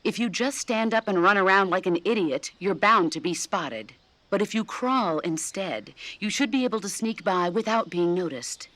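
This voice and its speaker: Female veteran soldier, game mentor role actress performance: measured, firm yet supportive, medium pitch, close dry recording. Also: animated, fairly bright tone, no room sound, close to an everyday voice. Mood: instructive, firm. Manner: measured, assured, instructive. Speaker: combat mentor. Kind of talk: video game voice acting.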